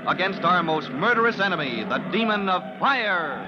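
A man's voice: high-pitched, lively intonation, moderate pace, very noisy. A man narrating an old radio drama in a dramatic, declamatory announcer style. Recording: dull old radio with music.

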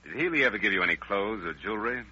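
An actor playing a no-nonsense police interrogator in an old radio crime drama. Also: male voice, fairly low pitch, lively intonation, very fast, bright, forceful tone, slightly rough, heavily acted. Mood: businesslike. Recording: noisy, muffled old radio broadcast.

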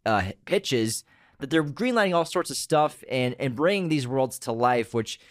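A man hosting a casual podcast chat, upbeat and quick to laugh, steering the talk with questions. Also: mid-range voice, animated, dry recording, clean studio sound. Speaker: podcast host.

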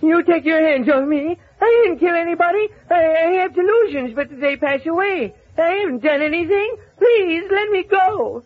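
An actor playing a meek, deluded little man in an old-time radio crime drama, pleading and overwrought in a halting way. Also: very high-pitched, expressive, no echo. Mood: frantic pleading. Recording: muffled vintage radio recording.

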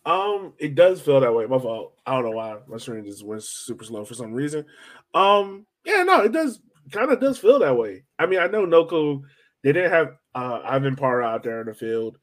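Male sports podcast host, mid-pitched, conversational and rambling, hedges and rephrases often. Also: big pitch swings, moderate pace. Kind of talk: casual sports chat.

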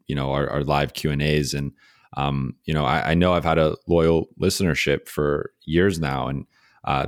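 Very deep-voiced man, halting podcast farewell. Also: no echo, clean studio sound. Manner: halting, many fillers and restarts, sincere. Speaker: podcast host, sports beat writer. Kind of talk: solo farewell podcast message.

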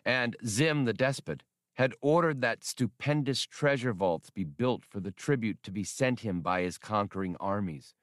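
A man narrates an adventure novel in a fairly low voice. He reads scripted prose as an audiobook narrator. The recording is very dry and close. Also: normal speed, dry recording.

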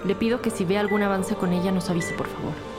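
An actress playing a determined police detective in an audio drama, speaking in a businesslike, direct way. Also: female voice, mid-range voice, fairly even intonation, fast, loud music behind the voice, natural voice. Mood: serious, determined.

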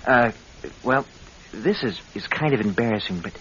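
An actor playing a scheming husband, glib and coaxing, in an old-time radio drama. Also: male voice, fairly low pitch, lively intonation, moderate pace, a bit bright. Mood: feigned embarrassment. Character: scheming husband, smooth and glib, talking sweetly. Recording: muffled, hissy vintage radio recording.

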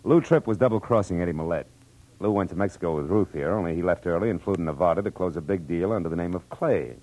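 A man's voice: low voice, fast talker, dark tone, poor audio. An American man playing a tough, world-weary private detective in 1940s radio drama, clipped and matter-of-fact. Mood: coolly accusing. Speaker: lead actor and narrator. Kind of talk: 1940s radio acting, first-person narration and dialogue. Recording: vintage radio drama with music.

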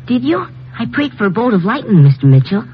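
An actor playing an earnest, devout young boy in a 1950s radio drama, calm and innocent in delivery. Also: sits high, quick pace, soft background music. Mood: calm, trusting. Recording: dull, noisy vintage radio recording.

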